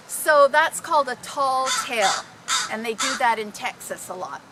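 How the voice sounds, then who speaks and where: Lady telling stories, really high bright voice, acts bits out, bit of noise behind her. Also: rough recording. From Canada. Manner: animated, dramatic, acting out exclamations. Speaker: storyteller. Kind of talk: informal storytelling to a group.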